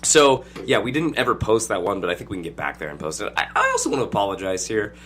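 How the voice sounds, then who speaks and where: Hoarse dude hosting his podcast, lowish voice, rambles n jokes, bit of background hum. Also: very animated, fast talker, fairly bright tone. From Ohio, USA. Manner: rambling, jokey, lots of fillers. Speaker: podcast host. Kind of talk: casual podcast chat.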